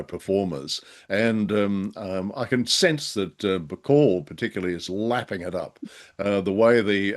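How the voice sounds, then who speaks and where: A man co-hosting an unscripted discussion, speaking in hesitant, halting phrases with a polite, wryly self-deprecating manner. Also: fairly low pitch. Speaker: podcast co-host. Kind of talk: unscripted discussion of old-time radio drama.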